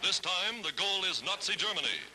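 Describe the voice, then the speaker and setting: A man's voice: high voice, animated, a little fast, sharp tone, slight background noise, low-quality recording. An American man narrating a 1940s newsreel from a script, with an emphatic, declamatory delivery on a vintage film soundtrack.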